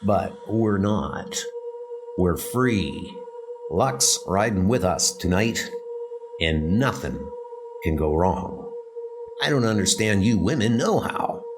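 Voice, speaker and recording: A man's voice: fairly low pitch, lively intonation, slow, over music. A man voicing a cocky, boastful convict henchman with slangy talk in a horror comic dub, over rain noise. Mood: cocky, dismissive. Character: cocky bespectacled convict henchman, swaggering and talkative.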